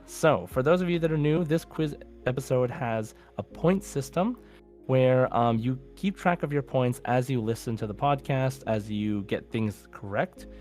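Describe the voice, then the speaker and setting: A man's voice: mid-range voice, unhurried, darkish tone, faint music behind. A man hosting a casual gaming podcast, talking in an enthusiastic, rambling way. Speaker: podcast host.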